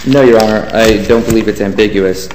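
A man's voice: lowish voice, brisk. A man giving a formal, deferential courtroom argument as an attorney. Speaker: government attorney. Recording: echoey courtroom with faint hiss.

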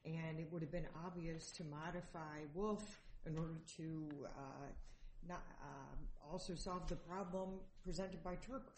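A woman's voice: sits low, fairly even intonation, measured pace, darkish tone, slight background noise. A woman judge questioning counsel in court with careful, probing questions. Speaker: appellate judge. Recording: echoey courtroom.

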